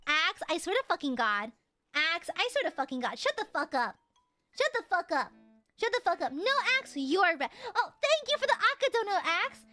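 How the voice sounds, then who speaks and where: A woman streamer chatting casually with her viewers, loud and crude, teasing them and swearing a lot, then suddenly dropping into mock-serious mutters. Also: very high-pitched, moderate pace, soft background music. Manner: animated, jumps between shouting and mock-serious mutters. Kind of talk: casual live-stream chat.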